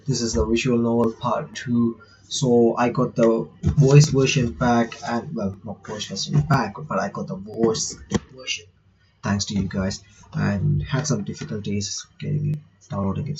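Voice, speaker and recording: A man giving casual playthrough commentary and reading game text aloud, halting and often repeating his words. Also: fairly low pitch, gravelly, mellow, lo-fi sound, slight background noise. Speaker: gaming reaction host.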